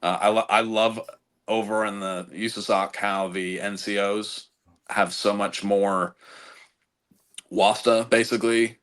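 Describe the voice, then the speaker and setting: Halting low-voiced veteran man. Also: little pitch movement, a bit bright. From United States. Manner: halting, frequent restarts and repeated words. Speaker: podcast guest, Army veteran officer. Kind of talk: casual conversational interview.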